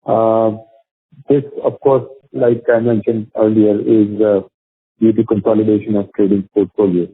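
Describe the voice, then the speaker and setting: Husky Indian man on a phone line. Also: fairly low pitch, level tone. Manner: halting delivery with stumbles over figures. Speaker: company executive presenting financial results. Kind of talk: prepared earnings call presentation. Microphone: telephone conference call. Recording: muffled phone conference line, roomy echo.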